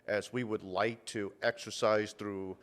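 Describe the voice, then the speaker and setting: A man's voice: lowish voice, steady tone, a little slow. A man talking casually as an interview guest, rambling with many fillers and restarts and cursing bluntly. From United States. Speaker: guest, meat purveyor.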